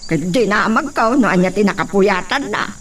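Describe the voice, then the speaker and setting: A woman's voice: medium pitch, expressive, normal speed, a bit bright, close to an everyday voice. A woman playing a nosy, timid housemaid in a radio drama, hesitant and deferential to her masters. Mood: sheepish, defensive. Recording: faint background noise.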